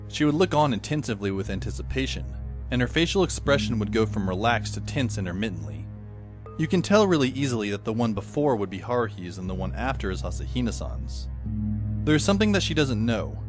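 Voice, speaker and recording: A man playing a wry, brooding teenage schoolboy who narrates his own story in an audio drama with dry, sardonic asides. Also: lowish voice. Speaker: lead actor and narrator. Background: faint background music.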